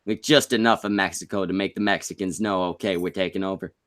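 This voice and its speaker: Low-voiced Canadian man hosting a podcast, crude, jokey, squeaks when excited. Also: fast. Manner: joking, crude, jumps to sudden high squeaks. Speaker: podcast host. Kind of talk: casual podcast chat.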